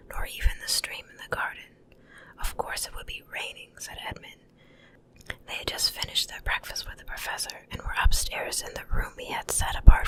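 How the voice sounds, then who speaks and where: A woman softly whispering ASMR ear to ear into a close mic, breathy and unvoiced, gentle and unhurried like a bedtime reader. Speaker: ASMR host reading a bedtime story. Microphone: close stereo mic, ear to ear.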